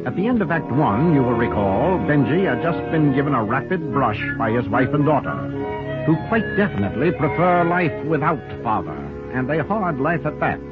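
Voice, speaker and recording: A man's voice: mid-range voice, animated, moderate pace. A man narrating a scripted 1940s radio show as announcer, theatrical and wry. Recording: tinny 1940s radio broadcast. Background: loud music.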